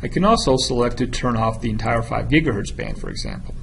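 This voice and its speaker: A man giving a methodical, instructional narration of a software tutorial, as a product demonstrator. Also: mid-range voice, fast, gravelly, a little hiss or hum.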